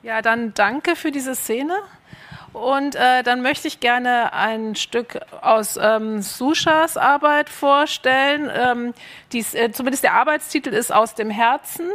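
A woman's voice: a little high, slow, a bit bright. A woman moderating a panel, introducing and asking questions in unscripted stage talk. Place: stage of an arts academy event.

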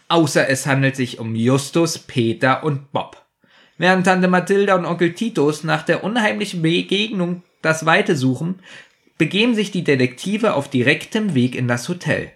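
A man's voice: on the high side, moderate pace, a bit bright. A man with a slight cold, co-hosting a casual podcast about audio dramas in a self-deprecating way. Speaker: podcast co-host.

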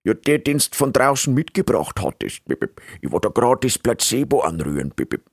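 A man's voice: lowish voice, sing-song, moderate pace, breathy edge, dry recording, clean studio sound, pitched above the performer's own voice, full character voice. A man voicing a servile, bumbling little animal assistant who stammers and punctuates every phrase with beeps, in a storybook reading. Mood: apologetic.

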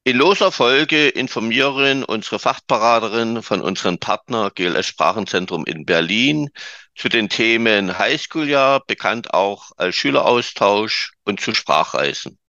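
Formal male podcast host, bright voice. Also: fairly low pitch, animated, no echo, muffled recording. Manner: formal, measured presenter. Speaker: podcast host, exchange advisor. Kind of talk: podcast interview.

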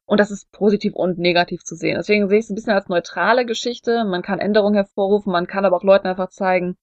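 Casting: woman, conversational podcast co-host, self-deprecating storyteller, medium pitch, dry sound. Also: fairly even intonation, racing, a little mellow, no room sound, highs cut off. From Germany. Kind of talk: casual conversational podcast talk with personal anecdotes.

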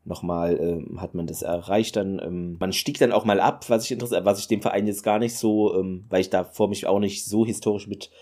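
A man's voice: deep voice, steady tone, fairly quick, hardly any pauses, warm tone. A man hosting a solo football podcast, talking in a loose, rambling way with many fillers and enthusiastic asides. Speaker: podcast host.

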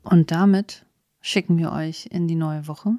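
A woman's voice: low voice, steady tone, unhurried, dark tone, faint music behind. A woman co-hosting a podcast in casual, conversational chat. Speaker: podcast co-host.

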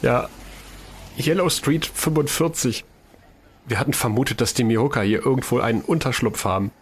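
A man's voice: mid-range voice, moderate pace, slight background noise, lightly acted. An actor playing a grieving, determined man in a German audio drama. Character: grieving, determined male hero seeking revenge.

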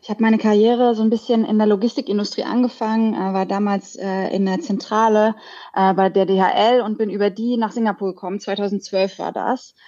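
A woman's voice: mid-range voice, flat delivery, a little fast, dark tone. A woman in her thirties from Germany, answering interview questions conversationally as a startup founder and podcast guest. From Berlin, Germany. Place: hotel room, remote call. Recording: dull, lo-fi remote connection.

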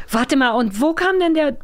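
A woman's voice: high voice, animated, normal speed. A woman chatting casually and playfully, spinning ideas off the cuff and dropping in English phrases. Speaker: podcast panelist. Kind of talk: casual improvised chat.

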